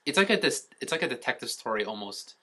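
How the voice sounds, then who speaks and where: A man's voice: mid-range voice, very animated, quick pace, bright, forceful tone. A man co-hosting a podcast, talking casually and enthusiastically as a fan, with some swearing. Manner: enthusiastic, profane. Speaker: podcast co-host.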